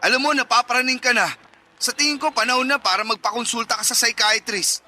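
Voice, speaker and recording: A man's voice: very high-pitched, fairly even intonation, fairly quick, bright, forceful tone, heavily acted. A man playing a manipulative, womanizing young boyfriend in a melodramatic radio drama, whining, defensive and accusatory. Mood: indignant.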